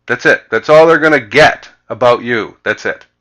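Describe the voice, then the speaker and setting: A man with a Canadian accent presenting a sales lesson as a coach, speaking emphatically and deliberately and repeating key phrases. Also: medium pitch, a bit bright. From Vancouver, Canada. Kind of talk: instructional slide presentation.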